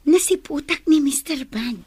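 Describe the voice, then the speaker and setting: Woman acting high, unhurried teasing young friend. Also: a little mellow, no echo. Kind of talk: radio drama acting.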